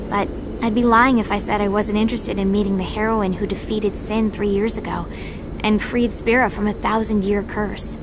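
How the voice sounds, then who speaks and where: A woman's voice: medium pitch, noisy background, natural voice. A woman playing a sardonic seventeen-year-old girl agent in a video game audio drama, snide and inquisitive, outwardly polite but privately scornful. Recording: loud background music under voice.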